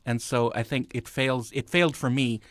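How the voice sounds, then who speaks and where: A man's voice: medium pitch, fairly even intonation, normal speed, fairly bright tone, dry recording, clean studio sound. A man giving his opinions as a panelist in a casual podcast discussion.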